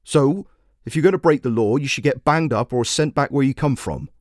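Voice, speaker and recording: A man's voice: mid-range voice, a little fast, dry recording, clean studio sound, close to an everyday voice. A man voicing a defensive, bigoted radio caller in his forties, blunt and grumbling. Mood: indignant.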